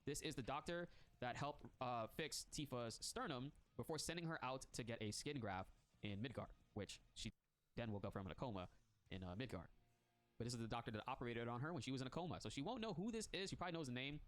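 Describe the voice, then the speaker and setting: A man hosting a casual reaction stream, congested from a cold, excitable and slangy, laughing often. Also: mid-range voice, fast talker. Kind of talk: casual reaction chat, reading text aloud.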